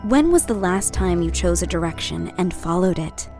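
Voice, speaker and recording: A woman's voice: lowish voice, animated, moderate pace, darkish tone, faint music behind. A woman playing a cryptic, guarded character in an audio drama trailer. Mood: probing.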